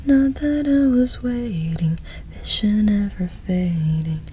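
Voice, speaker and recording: A woman doing ASMR, softly singing and whispering song lyrics in a breathy, intimate way. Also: medium pitch, animated, slow, a little hiss or hum. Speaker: ASMR performer.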